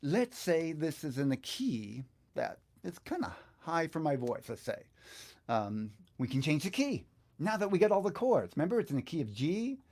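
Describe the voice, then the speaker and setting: A middle-aged or older man giving a casual hands-on software music lesson, thinking aloud in an easygoing, halting way as he works. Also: a little high, very animated. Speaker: guitar teacher presenting a software tutorial. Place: small indoor home studio, seated at computer. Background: backing track music.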